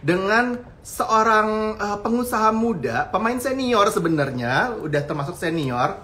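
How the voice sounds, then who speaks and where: Male live-stream host with a slightly high voice, chatty and upbeat, easy with fillers. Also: very animated, unhurried, bright, forceful tone. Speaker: host, fashion and beauty editor. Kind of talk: casual live-stream chat. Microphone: video call.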